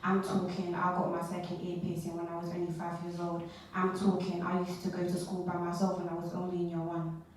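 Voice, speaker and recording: Young woman, rhythmic spoken word, low flat voice, echoey hall, distant mic. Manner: rhythmic, measured delivery with repeated refrains. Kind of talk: performed spoken word poetry. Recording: echoey hall, voice from far off, faint noise.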